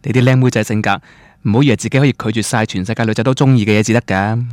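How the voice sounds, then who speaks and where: An actor with a Cantonese accent playing a gentle but opinionated young man in a radio drama. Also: lowish voice, steady tone, rapid-fire, no echo, clean studio sound, natural voice. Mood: challenging. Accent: Cantonese, Guangdong.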